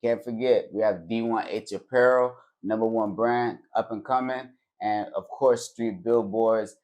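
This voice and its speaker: A man's voice: on the low side, fairly even intonation, unhurried. A man hosting a podcast, hyping sponsors and guests in a laid-back way. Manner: hype, laid-back, lots of shout-outs. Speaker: podcast host. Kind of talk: casual interview chat.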